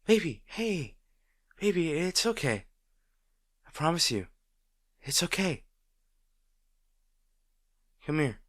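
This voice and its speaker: A man playing a gentle, protective boyfriend in an intimate roleplay audio, speaking in a tender, reassuring way. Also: a little high, sing-song, takes it slowly, pauses often, no room sound, studio quality, heavily acted. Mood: alarmed, pleading. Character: gentle, protective boyfriend comforting a distraught partner.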